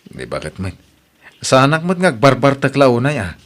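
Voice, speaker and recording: A man's voice: medium pitch, wildly swooping pitch, normal speed, fairly bright tone. A man as a tired defensive husband. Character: weary young husband. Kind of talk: audio drama acting.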